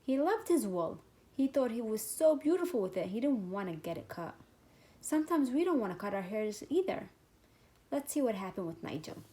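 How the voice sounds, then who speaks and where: A woman's voice: a little high, big pitch swings, moderate pace, mellow. A woman teacher hosting a read-aloud, talking casually and simply to young children. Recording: faint background noise and ambience.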